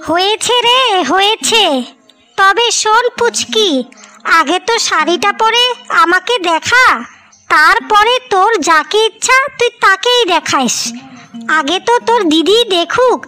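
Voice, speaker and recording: A woman's voice: extremely high pitch, measured pace, bright, forceful tone, soft background music, heavily acted. A woman voicing a doting, protective young elder sister in a cartoon fairy tale, bossy in a fussing way.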